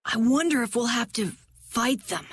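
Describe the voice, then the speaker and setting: A woman's voice: a little high, animated, normal speed, a little hiss or hum. A woman voicing an earnest, inquisitive young boy adventurer in a video game. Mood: curious. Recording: music under the voice.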